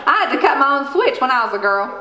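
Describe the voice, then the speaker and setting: Lady playing grumbly sassy wife, high voice all over the place, folksy radio play, roomy stage. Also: sing-song, fast, bright, forceful tone, exaggerated voice. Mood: sassy grumbling. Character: sassy, grumbling small-town wife and mother. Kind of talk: live comedic radio-play reading. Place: theater stage with stand microphone. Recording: echoey stage hall.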